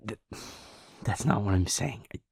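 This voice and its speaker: Low husky man, soft and halting. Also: no room sound, studio quality. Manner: soft, hesitant, halting with sighs and restarts. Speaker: audio roleplay performer. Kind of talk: intimate audio roleplay, speaking to the listener.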